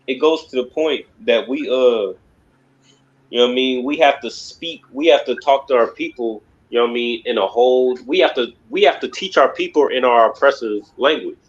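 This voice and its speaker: A man's voice: medium pitch, moderate pace, highs cut off. A man leading a religious class lecture, talking emphatically and rambling, with constant repeated fillers. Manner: rambling, emphatic, repeats "you know what I mean". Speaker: class instructor.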